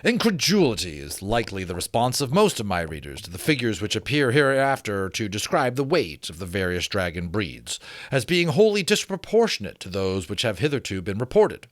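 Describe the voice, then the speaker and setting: A man doing a dramatic, deliberate audiobook reading, mixed with casual, chatty asides to his listeners. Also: lowish voice, sing-song, dry recording, studio quality. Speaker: reader and presenter of a book reading channel.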